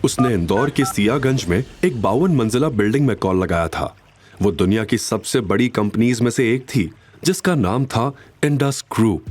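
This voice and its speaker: A man's voice: medium pitch, darkish tone. A man narrating a scripted audio drama in a dramatic storytelling style. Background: background music.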